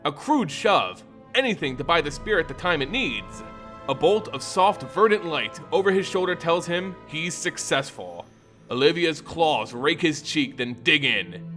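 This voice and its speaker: Animated male fantasy story narrator. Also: fairly high pitch. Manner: theatrical, deliberate emphasis. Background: music.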